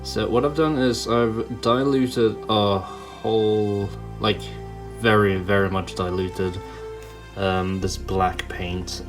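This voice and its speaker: A man's voice: on the low side, fairly even intonation, slow pace. A man giving casual hands-on hobby commentary, thinking aloud in a hesitant way as he works. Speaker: toy customizer hosting a hobby video. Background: background music.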